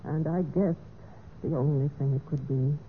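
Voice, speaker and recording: An actress playing a betrayed, wistful but resolute wife in a measured, theatrical golden-age radio mystery style. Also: female voice, low voice, fairly even intonation, lightly acted. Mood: cool, matter-of-fact. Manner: measured, deliberate, theatrical delivery. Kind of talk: 1940s-50s radio mystery acting. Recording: tinny, noisy old radio recording.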